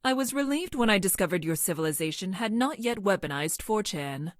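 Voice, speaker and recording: A woman playing a logical young woman scientist from the future in a video game, speaking in formal, clinical, precise deadpan. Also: mid-range voice, brisk, dry recording, clean studio sound, lightly acted. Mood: deadpan affection. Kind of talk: video game character voice lines.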